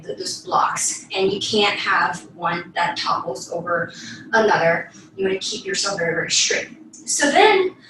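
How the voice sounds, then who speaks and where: A woman's voice: fairly high pitch, fairly bright tone. A young woman giving step-by-step instructional class talk as a ballet teacher, demonstrating as she speaks. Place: small bedroom, indoors. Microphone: far camera across the room. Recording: echoey room, distant mic, faint noise.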